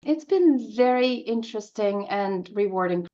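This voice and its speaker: A woman's voice: mid-range voice, expressive, a little slow, mellow, lo-fi sound. A woman speaking as an interview guest, an opera composer in conversation on the radio.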